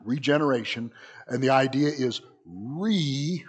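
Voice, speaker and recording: Hoarse, husky male pastor. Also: medium pitch, lively intonation, a little slow. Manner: casual, joking, with asides and restarts. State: hoarse from illness. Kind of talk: Sunday school teaching. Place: small church.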